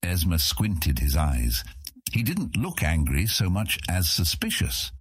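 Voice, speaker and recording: Very deep, husky male audiobook narrator. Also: wildly swooping pitch, slow pace, dry recording, clean studio sound. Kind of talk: scripted fantasy narration.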